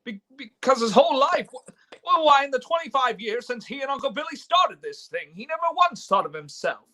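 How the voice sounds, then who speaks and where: Casting: male actor as stammering, earnest young hero of a radio play; high, bright, very animated voice. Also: a little fast, full character voice. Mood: indignant, impassioned. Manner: stammering, impassioned. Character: earnest, indignant, idealistic young man defending his father. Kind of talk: live radio play reading. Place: remote online reading from home.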